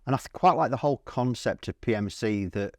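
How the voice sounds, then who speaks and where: A man's voice: mid-range voice, brisk, no room sound, clean studio sound. A man interviewing in a casual, informal chat, repeating words as he frames his thoughts and questions. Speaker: interviewer for a hi-fi channel. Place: at a hi-fi trade show.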